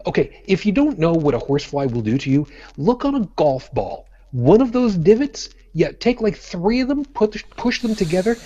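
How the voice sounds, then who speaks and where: Guy, jokey and blunt, swoopy lively voice, kinda muffled audio. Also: high-pitched, moderate pace, hardly any pauses, no echo. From Brooklyn, New York. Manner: blunt, jokey, profane, with many restarts. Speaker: podcast co-host. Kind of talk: casual live-stream chat.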